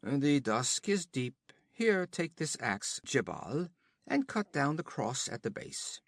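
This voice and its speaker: A man voicing a hard, boastful outlaw chief who gives orders in a raised, loud voice. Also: mid-range voice, sing-song, slow pace, no room sound, clean studio sound, voice pushed up, heavily acted. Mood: swaggering. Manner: boastful, commanding.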